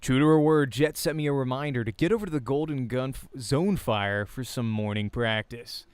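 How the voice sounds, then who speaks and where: A man reading first-person visual novel narration as a voice actor, informal and quick to comment on his slips. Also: mid-range voice, clear, resonant tone, dry recording. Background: faint game music.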